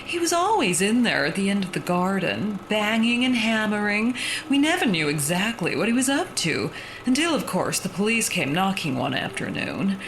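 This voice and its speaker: A gleefully morbid hostess of a creepy story show, acted by a woman, swoops up and down as she delivers darkly comic scripted lines. Her manner is theatrical and playful, over clearly audible background noise. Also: medium pitch, big pitch swings, normal speed. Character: macabre horror hostess with gleefully morbid humour. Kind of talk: scripted comic horror introduction.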